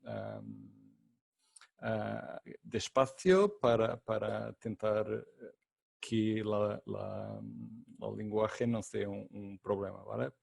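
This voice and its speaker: A consultant giving a webinar masterclass, speaking slowly in a second language that is not his native Portuguese, with frequent fillers and repeated words. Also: fairly low pitch, gravelly, darkish tone, dry recording. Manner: slow and deliberate, many fillers and repeated words. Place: online webinar.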